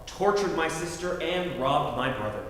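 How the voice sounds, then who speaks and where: A man's voice: on the high side, animated, normal speed, fairly bright tone. A man playing a hard-boiled private detective in a 1940s-style radio comedy, with tough, melodramatic gangster talk. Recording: echoey hall with faint noise.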